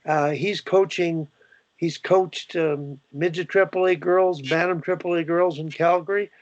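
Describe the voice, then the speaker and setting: An older Canadian man hosts a call and rambles on with plenty of "uh"s and false starts. His pitch sits a little high for a man. The sound is dull and dry, like laptop audio from a video call. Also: steady tone, a little slow, no echo. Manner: rambling, many fillers and restarts. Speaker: host. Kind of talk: video call panel discussion.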